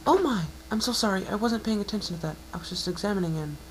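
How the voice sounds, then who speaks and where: A man's voice: sits high, animated, quick pace, a little hiss or hum, pitched above the performer's own voice, low-quality recording. A man voicing an earnest, bookish young female unicorn, polite and easily flustered, in a fan fiction reading. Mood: flustered, apologetic. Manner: eager, polite, explanatory.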